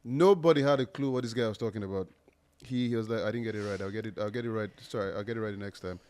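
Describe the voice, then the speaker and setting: Male podcast host. Slightly low voice, casual and jokey, rambling interviewer. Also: racing, darkish tone, smooth. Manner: joking, rambling questions with restarts.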